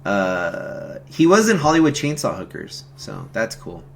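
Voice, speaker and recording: A man hosting a casual film commentary stream, talking in a halting, rambling, slangy way. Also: on the low side, very animated, creaky, a little hiss or hum. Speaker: host of a film commentary stream, amateur horror filmmaker.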